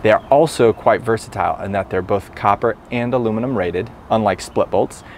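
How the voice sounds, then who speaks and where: A man's voice: on the low side, brisk, a little mellow, slight background noise. A talkative man with a nasal voice, an electrician explaining his work on the job in a casual, upbeat way. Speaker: electrician and instructor.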